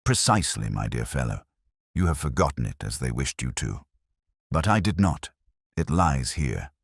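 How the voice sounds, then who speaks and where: A man plays a brilliant gentleman detective for an audiobook mystery, in a very deep register. He is grave and formal, speaking in measured, oratorical phrases with expressive rises and falls. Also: slightly rough, no room sound, studio quality, exaggerated voice. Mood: knowing.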